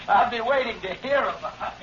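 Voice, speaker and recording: An actor playing a smug villain mocking his victim in a 1940s radio crime drama. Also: very high voice. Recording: dull, noisy old radio recording.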